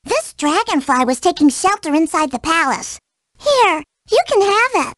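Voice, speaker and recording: A woman's voice: extremely high pitch, very animated, moderate pace, a bit bright, no room sound, studio quality, full character voice. A woman voicing a tiny, earnest fantasy palace resident in a video game, with theatrical delivery. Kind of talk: video game character dialogue.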